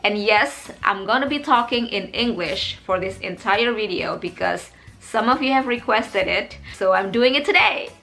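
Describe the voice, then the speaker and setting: A young woman with an Indonesian accent talks openly to her viewers in English, her second language. Her voice is bright and her pitch sits at a middle height. Soft music plays faintly behind her. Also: normal speed, gravelly. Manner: open, reflective, chatty. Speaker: English teacher vlogger. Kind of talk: casual talk to camera.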